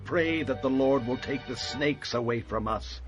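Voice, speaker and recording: A man's voice: medium pitch, measured pace, soft background music, lightly acted. An actor playing an aggrieved Israelite who speaks for his people in a dramatized audio Bible, emotional and beseeching. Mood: contrite, pleading.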